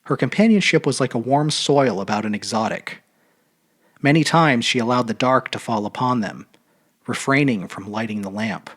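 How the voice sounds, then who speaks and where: A man narrating a literary short story aloud in an expressive, measured, dramatic audiobook style. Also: medium pitch. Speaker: storyteller reading fiction aloud.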